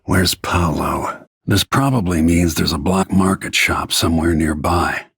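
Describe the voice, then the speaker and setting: An actor playing a grim, weathered middle-aged royal bodyguard turned assassin in a video game, gravelly and husky, brooding and terse. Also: male voice, deep voice, measured pace, fairly bright tone, no room sound, studio quality, exaggerated voice. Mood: grim, controlled.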